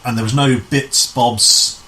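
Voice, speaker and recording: A man's voice: medium pitch, fairly even intonation, a little slow, pushed and bright. A man telling stories casually as an interview guest, restarting and repeating phrases as he explains. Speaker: amateur pantomime director, interview guest. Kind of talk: casual conversational storytelling.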